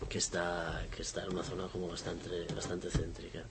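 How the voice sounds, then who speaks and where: A man's voice: low voice, monotone, brisk, music in the background. A man in his sixties from Barcelona, an architect being interviewed, who has a cold and talks hesitantly, often repeating words as he searches for what to say. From Barcelona, Spain. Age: 60s. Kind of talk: conversational interview.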